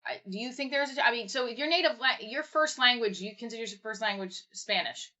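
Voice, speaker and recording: A woman's voice: a little high, expressive, a little fast, sharp tone. A woman hosting a casual livestream chat, asking loose, rambling questions with a self-deprecating streak. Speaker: livestream host. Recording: dull lo-fi stream audio.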